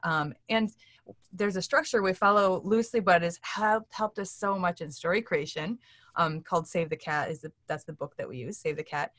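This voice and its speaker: A woman's voice: on the low side, moderate pace, a bit bright, dry recording. A middle-aged woman explaining things in a casual, conversational way as an interview guest. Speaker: interview guest, audio drama producer. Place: indoor room, seated on a leather couch.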